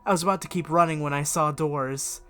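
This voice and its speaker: A man with a bright, forward voice narrates a story written as a diary. His pitch sits a little high for a man, and he reads it as scripted, expressive narration over music. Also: a little fast, clear, resonant tone. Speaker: fan fiction reader and video creator.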